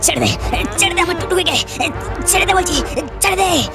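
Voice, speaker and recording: A woman voicing an excitable, devoted village fisherman in a cartoon story, exclaiming urgently and pleadingly. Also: extremely high pitch, fairly quick, over loud music. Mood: panicked, desperate.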